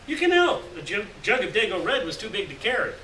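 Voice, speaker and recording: A man's voice: high-pitched, huge pitch swings, a little fast, bright, forceful tone, voice pushed up, heavily acted. A man voicing a rowdy, crude, hard-drinking beatnik drifter in a live story reading, boisterous and slangy. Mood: boisterous, teasing. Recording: roomy, distant mic, faint noise.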